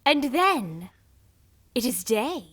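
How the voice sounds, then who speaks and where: An actress playing an earnest, simple-minded sister in a comic audio drama, speaking in a halting, childlike way. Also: female voice, high voice, very animated, heavy background noise, full character voice.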